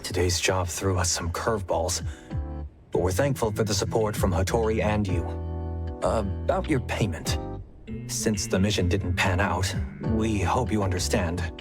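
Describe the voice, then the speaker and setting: A man voicing a calm, tactful team leader in a video game, polite and measured. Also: low voice, music in the background. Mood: apologetic.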